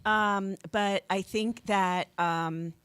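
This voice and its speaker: A woman's voice: medium pitch, fairly even intonation, extremely slow, fairly bright tone, clear, resonant tone. An American woman speaking conversationally as an activist guest in a radio interview, with an encouraging manner. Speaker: guest, activist and voter registration organizer.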